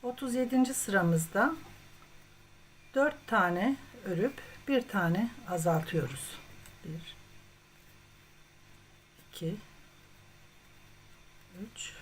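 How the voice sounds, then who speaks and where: A woman's voice: mid-range voice, animated, very slow, long pauses. A woman hosting a step-by-step craft tutorial, talking as she works and addressing viewers as friends. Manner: counts stitches aloud, addresses viewers as friends. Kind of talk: step-by-step crochet tutorial narration while working. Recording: clear background noise, room ambience.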